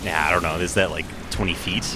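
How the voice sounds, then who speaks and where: A man's voice: low-pitched, fairly even intonation, fast talker, background noise. A man bantering casually as a wry, reactive co-commentator on a video game.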